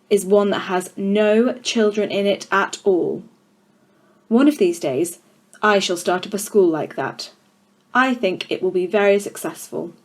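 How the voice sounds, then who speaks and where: A woman's voice: mid-range voice, lightly acted. Woman acting a domineering, child-hating headmistress. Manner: domineering, contemptuous, emphatic. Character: tyrannical, child-hating, menacing adult headmistress.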